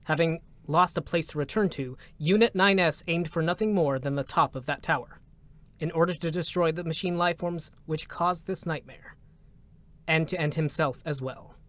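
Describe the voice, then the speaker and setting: A man narrating a scripted drama in a measured, solemn manner, with short, deliberate phrases. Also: a little high, a bit bright. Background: music.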